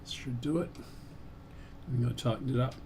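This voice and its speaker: A man giving unscripted, halting commentary as host of a repair stream, muttering to himself while he works. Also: medium pitch, noisy background.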